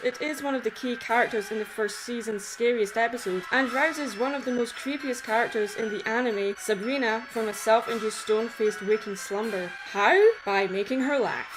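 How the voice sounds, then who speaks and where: A woman narrating a scripted video essay in an expressive way, with conversational asides. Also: fairly high pitch, rough recording. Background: background music.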